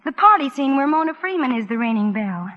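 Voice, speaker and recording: A woman speaks in a high voice with gushing, admiring scripted delivery. It sounds like a 1940s radio commercial, muffled and with faint noise behind her. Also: big pitch swings, fast. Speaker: guest in a sponsor's advertising segment. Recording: muffled, faintly noisy vintage radio broadcast.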